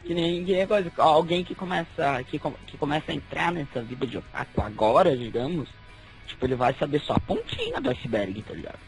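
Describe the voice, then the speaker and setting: High-voiced man. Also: big pitch swings, fairly quick, faint music behind. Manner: casual, slangy. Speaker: podcast co-host. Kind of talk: casual podcast chat.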